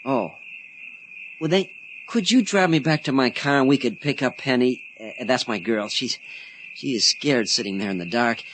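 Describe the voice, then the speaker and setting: A man's voice: medium pitch, normal speed, fairly bright tone, a little hiss or hum, close to an everyday voice, poor audio. An actor in a radio drama playing an eager, chatty young man, boyish, polite and rambling. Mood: eager, nervous. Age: young adult. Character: eager, chatty young male Senate page.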